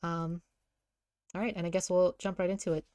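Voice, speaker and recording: A woman's voice: fairly low pitch, flat delivery, fairly quick, darkish tone, no echo, clean studio sound. A woman reading a story aloud as casual narration, with an informal, wry delivery. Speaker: story reader.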